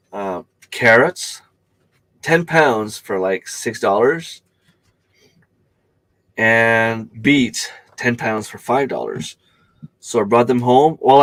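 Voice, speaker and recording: A middle-aged man with a hoarse voice from a cold, chatting casually on a home live stream and greeting viewers by name. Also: medium pitch, a bit bright, dry recording, poor audio. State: losing his voice from a cold. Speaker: channel host.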